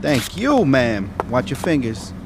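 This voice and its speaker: An actor playing a brisk, officious apartment doorman in a radio drama, calling out with formal courtesy. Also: medium pitch, very animated, background noise. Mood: courteous, brisk. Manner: polite to patrons, calling out loudly.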